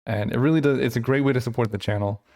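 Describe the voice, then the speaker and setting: A man hosting his channel, thinking aloud in casual gameplay commentary with lots of fillers, his voice getting hoarse. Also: mid-range voice, fast, a little mellow. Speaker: channel host.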